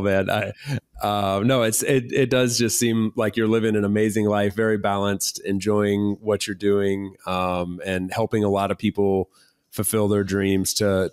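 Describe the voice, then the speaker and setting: A man's voice: on the low side, smooth, dry recording, clean studio sound. A man hosting a podcast, closing an interview in a hesitant, friendly way with many fillers. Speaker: podcast host. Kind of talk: casual interview wrap-up.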